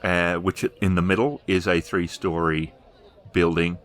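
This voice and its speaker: A man narrating a tabletop role-playing game as storyteller, improvising descriptions with hesitant pauses. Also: sits low, fairly even intonation, fairly bright tone, a faint crowd.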